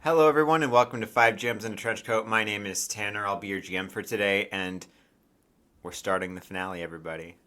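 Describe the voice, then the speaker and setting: A man's voice: on the low side, fast, pushed and bright. A man acting as game master, casually narrating a tabletop game and talking directly to his players in a hesitant, conversational way.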